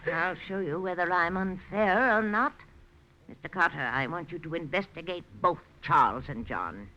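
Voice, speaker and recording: An actress playing a stubborn, bossy old great-aunt of about eighty in a 1940s radio drama. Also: female voice, fairly low pitch, normal speed, pushed and bright. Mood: indignant, defiant. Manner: crotchety, bossy, emphatic. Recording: dull, noisy old radio recording.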